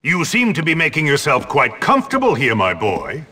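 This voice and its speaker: Pompous, husky, animated old headmaster. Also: male voice, a little high, moderate pace, exaggerated voice. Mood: pompous scolding. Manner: pompous, scolding, old-fashioned. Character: pompous, stern older male school headmaster. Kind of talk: video game cutscene acting.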